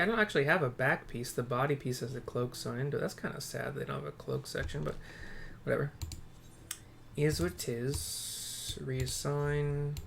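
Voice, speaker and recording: A man's voice: mid-range voice, gravelly. Offhand male gamer over keyboard noise. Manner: offhand, playful muttering to himself. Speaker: gamer, video host. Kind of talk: casual gameplay commentary.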